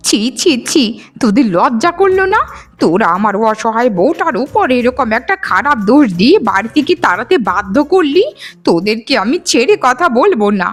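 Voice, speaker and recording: A woman's voice: fairly high pitch, big pitch swings, moderate pace. A woman playing an elderly, righteous mother-in-law in a cartoon, with a dramatic manner that repeats words for emphasis. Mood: outraged, scolding. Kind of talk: Bengali animated moral-story voice acting.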